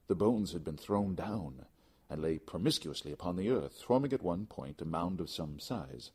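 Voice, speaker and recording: A man's voice: deep voice, darkish tone, breathy edge. A man reading a gothic story aloud as dramatic, scripted audiobook narration. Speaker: audiobook reader.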